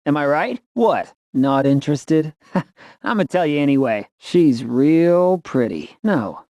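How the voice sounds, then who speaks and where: Casting: boyish, good-hearted young ex-soldier for a video game; animated pitch, unhurried pace, dry close sound. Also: male voice, no echo. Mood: playful, fond. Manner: casual, boyish, earnest. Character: easygoing, upbeat young male ex-soldier, loyal and earnest.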